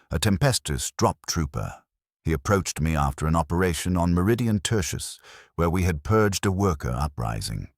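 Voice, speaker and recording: A man's voice: very deep voice, dry recording, clean studio sound, full character voice. A man voicing a hollow, faithless warrior nun, telling her grim life as scripted first-person story narration in detached, solemn, clipped sentences.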